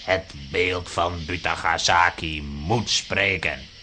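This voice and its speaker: Dark, forceful scheming villain. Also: male voice, deep voice, fairly even intonation, slow pace, soft background music, exaggerated voice. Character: sinister cloaked male villain, scheming sorcerer. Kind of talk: children's audio drama acting.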